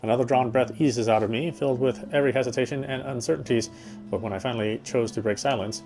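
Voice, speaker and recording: A man's voice: mid-range voice, fairly even intonation, fast talker, a little mellow, lightly acted. An actor playing a tender, self-deprecating young man in a visual novel, introspective and earnest, with guitar music behind him. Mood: hesitant, resigned. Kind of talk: first-person visual novel narration and dialogue.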